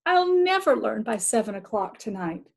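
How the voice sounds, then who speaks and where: A woman voicing an earnest, anxious young woman from a storybook, in an expressive way. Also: fairly high pitch, normal speed, creaky, exaggerated voice. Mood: worried.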